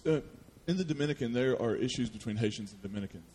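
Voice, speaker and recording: A man hosting an onstage interview before an audience, asking friendly and gentle questions. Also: medium pitch, lively intonation, quick pace, a little hiss or hum. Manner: upbeat and friendly in the introduction, then soft and gentle with his questions. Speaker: host interviewer. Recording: reverberant hall.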